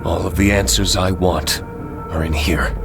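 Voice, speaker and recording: A man's voice: low-pitched, steady tone, measured pace, creaky. An actor playing a grave, weary male hero in a game cutscene, brooding as he speaks. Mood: grim resolve. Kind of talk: dramatic game cutscene acting. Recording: very loud music under the voice.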